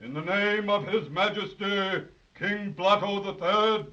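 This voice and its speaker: A man's voice: sits high, unhurried, pushed and bright. A man playing a forgetful, pompous assistant executioner in a 1930s children's radio serial, ceremonious and dithering. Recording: muffled 1930s radio transcription.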